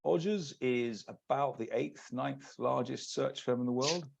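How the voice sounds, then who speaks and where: A man from the UK with a British accent, giving a measured, businesslike webinar presentation as an executive search partner. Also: medium pitch, vocal fry, background noise. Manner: measured, businesslike, many restarts. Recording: lo-fi video call audio.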